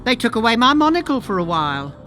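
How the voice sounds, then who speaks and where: A man's voice: extremely high pitch, very animated, fast, bright, forceful tone, faint music behind, full character voice. A man voicing a tiny, fussy, timid male cartographer in a pirate video game, easily indignant.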